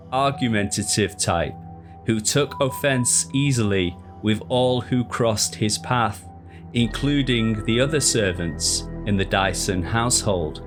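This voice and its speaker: A man reading a scripted ghost story in a measured, deliberate, formal storytelling manner. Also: medium pitch. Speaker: narrator. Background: music.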